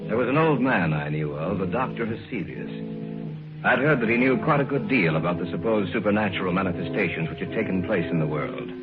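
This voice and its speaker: A calm, earnest young man tells of a strange old tale. His low voice rises and falls freely. It sounds thin and tinny, with music under it. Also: a little fast. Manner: calm, reassuring, formal. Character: steady young man David, the fiancé who narrates. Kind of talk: 1950s radio drama acting and narration.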